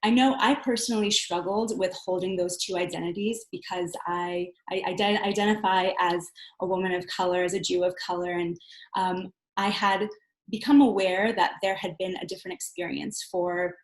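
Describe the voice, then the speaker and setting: A woman giving a personal account as a panel guest, earnest and reflective, often halting to rephrase herself. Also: on the low side, steady tone. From Southern California, United States. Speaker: panel guest, community coordinator. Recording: roomy, echoey sound.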